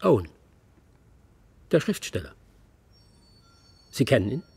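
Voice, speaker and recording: Höflicher Geschäftsmann, belegte Stimme. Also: male voice, mid-range voice, very animated, slow, gravelly, darkish tone, slightly rough, full character voice. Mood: matter-of-fact. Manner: formal, courteous. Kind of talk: German radio play acting.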